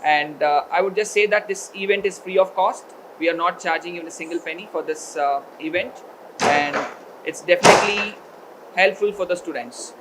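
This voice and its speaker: Man, high voice, formal event speaker, hesitant delivery, faint background hiss. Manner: hesitant, many filler pauses. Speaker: education consultancy representative. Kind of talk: formal welcome speech at a college event.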